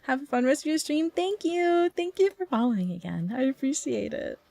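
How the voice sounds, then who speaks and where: A woman's voice: high-pitched, animated, brisk, creaky, warm tone, no echo. A woman streaming a game, reacting and chatting casually in a giggly, informal way into a close microphone. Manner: giggly, informal, loosely paced. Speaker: live streamer.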